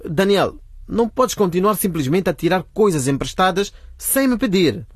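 Young man, scolding, lively swings, husky edge, dry close sound, radio drama. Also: high-pitched, moderate pace, dry recording. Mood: indignant. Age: young adult. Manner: scolding, emphatic. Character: indignant young man scolding his freeloading roommate.

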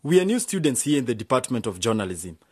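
Polite young male student, keen yet hesitant, husky and animated, for radio drama. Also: mid-range voice, quick pace.

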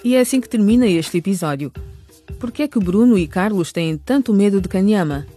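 A man's voice: high-pitched, lively intonation, fairly quick, warm tone. A man reading scripted narration as the announcer of a radio serial. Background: faint music.

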